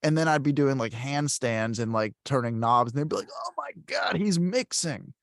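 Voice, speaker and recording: A man hosting a podcast in casual chat, telling stories in a rambling, animated way with many fillers. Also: mid-range voice, moderate pace, creaky, dry recording, studio quality. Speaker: podcast host.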